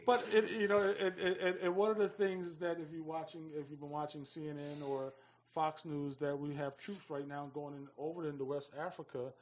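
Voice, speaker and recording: A man on a talk radio panel discussing things casually and haltingly, often repeating his phrases. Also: fairly high pitch, level tone, lo-fi sound, slight background noise. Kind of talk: casual current-affairs discussion.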